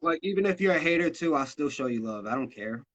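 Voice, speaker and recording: A man's voice: a little high, expressive, fast talker, a bit bright. A man in his thirties from Massachusetts, a rapper talking loosely and casually in an interview, swearing freely. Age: 30s. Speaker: rapper guest.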